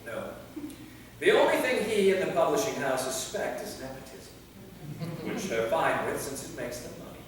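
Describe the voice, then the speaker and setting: A man's voice: a little high, sing-song, normal speed. A man playing an anxious, awkward centuries-old vampire novelist in a staged play reading, hesitant and self-effacing. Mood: awkward, subdued. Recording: echoey hall, distant mic, faint noise.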